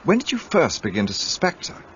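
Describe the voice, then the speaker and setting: An actor playing an earnest army captain in a radio play, asking eager questions and narrating parts of the story. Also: male voice, a little high, wildly swooping pitch, fairly quick, dull audio, a little hiss or hum. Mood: curious.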